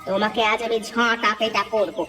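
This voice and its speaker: A woman voicing a feisty, resourceful village wife in a cartoon, sharp-tongued and decisive. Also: on the high side, fairly even intonation, a little fast, music in the background, close to an everyday voice. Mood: angry, scolding. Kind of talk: cartoon dub acting.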